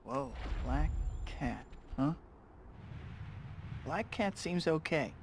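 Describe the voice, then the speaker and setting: A man's voice: a little high, expressive, drawn-out words, very noisy, heavily acted. An actor playing a young male superhero in a video game, earnest and self-doubting, with a wry, quippy manner. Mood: wry, curious. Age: young adult. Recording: loud music behind.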